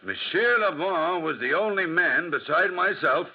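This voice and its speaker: A man's voice: a little high, lively intonation, unhurried, breathy edge. A man playing a pompous, foolish French gendarme in a 1940s radio drama, officious and blustering. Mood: insinuating. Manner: officious, blustering, hesitant when challenged. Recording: muffled 1940s radio recording.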